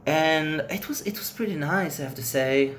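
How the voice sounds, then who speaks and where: A man's voice: a little high, moderate pace, a bit bright, a little hiss or hum. A man whose first language is Hebrew, chatting casually to camera as a vlogger, rambling loosely and giving frank opinions.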